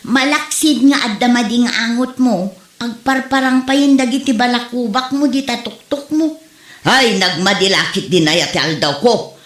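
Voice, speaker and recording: A woman's voice: fairly high pitch, moderate pace, echoey room, natural voice. A woman acting a nagging, complaining wife who is short of money in an Ilocano comedy drama. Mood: irritated, complaining.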